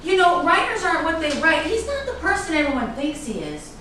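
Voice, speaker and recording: A woman's voice: very high-pitched, very animated, brisk. A woman playing a defiant, guarded actress in a staged drama reading, with a sarcastic, cutting delivery. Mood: suspicious, curt. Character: defiant, guarded actress in love with a suspected man. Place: echoey room at a staged reading. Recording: echoey room, distant mic, noisy background.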